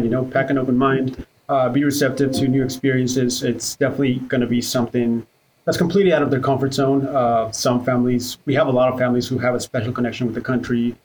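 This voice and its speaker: Man, relaxed and unhurried. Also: medium pitch, poor audio. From Ecuador. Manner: relaxed, many "uh" fillers. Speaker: childcare worker guest. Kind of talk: podcast interview.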